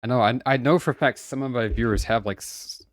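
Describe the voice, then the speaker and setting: A man's voice: mid-range voice, quick pace, no room sound. Casual male streamer, close dry mic, chatty and upbeat. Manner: chatty, laughs often, greets viewers brightly. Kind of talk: casual livestream chat.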